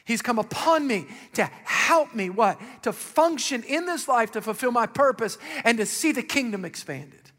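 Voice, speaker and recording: A male pastor with a raspy voice preaching a sermon, calling out questions to the congregation with rising emphasis. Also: extremely high pitch, lively intonation, moderate pace, few pauses, a bit bright, in a large hall.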